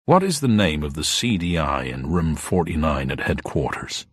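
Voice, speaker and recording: A man plays a plainclothes police superintendent in an audio mystery. He is polite and deferential yet doggedly watchful, in a low, husky voice recorded dry and close. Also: very animated, moderate pace, dry recording, heavily acted. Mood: testing. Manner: deferential but probing.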